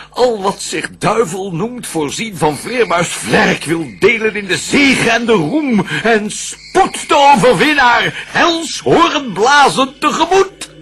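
A man playing a scheming, vengeful fallen lord of hell in a classical verse radio play, declaiming in measured theatrical style. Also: very high voice, sharp tone, husky, exaggerated voice.

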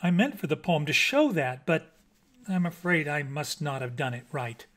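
A man's voice: fairly high pitch, expressive, normal speed, a bit bright. A man voicing a timid, deferential bat poet in a storybook, speaking hesitantly and apologetically. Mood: polite, anxious.

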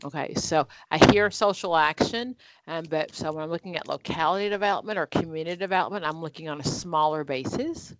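A woman's voice: deep voice, a little fast, few pauses, poor audio. A woman leading an online exam-prep study group, explaining answers with everyday examples in a conversational way over a video call.

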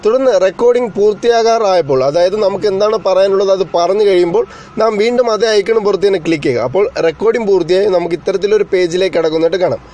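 A man's voice: sits high, racing, mellow. A man narrating an explanatory tech tutorial as its host, in a formal, wordy way that addresses viewers directly.

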